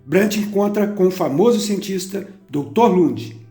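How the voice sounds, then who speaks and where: A man narrating a documentary from a script in a measured, even storytelling manner. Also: sits high. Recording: roomy narration over soft instrumental music.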